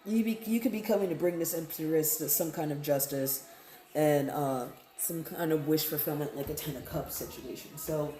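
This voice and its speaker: A woman's voice: sits low, normal speed, mellow, reverberant, slight background noise. A woman giving a casual, improvised tarot reading, talking directly to her viewers in a conversational, repetitive way and often checking in with them. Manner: conversational, repeats phrases, often checks in with "right?" and "okay?". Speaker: tarot card reader.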